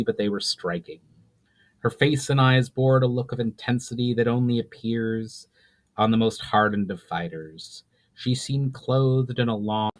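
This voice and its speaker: A man reading scripted fantasy narration as a dramatic storyteller, measured, letting his phrases trail off at the end. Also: mid-range voice. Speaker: author reading own book.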